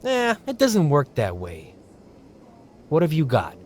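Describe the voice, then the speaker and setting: Radio-play fixer male actor: small-time bully, blustering and argumentative, high male register, very animated delivery. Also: slow, exaggerated voice. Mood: guarded, bargaining. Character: short, shifty, bullying political fixer, loudly indignant. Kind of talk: audio drama acting.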